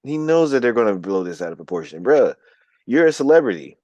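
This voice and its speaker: A man's voice: mid-range voice, very animated, a little fast, darkish tone, no room sound, muffled recording. A young American man co-hosting a podcast, talking bluntly and profanely in a casual chat. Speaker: podcast co-host.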